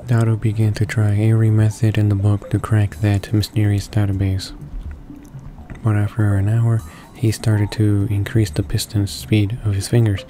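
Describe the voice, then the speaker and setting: Monotone, low-voiced man reading aloud. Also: normal speed. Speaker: let's play narrator reading a visual novel aloud. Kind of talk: read-aloud narration of game text. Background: game background music.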